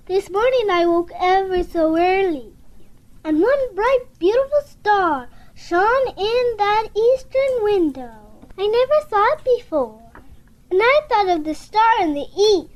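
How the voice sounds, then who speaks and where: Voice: very high voice, heavily acted. A performer playing a sweet, frail little girl in an audio drama, earnest and dreamy, with old-fashioned phrasing.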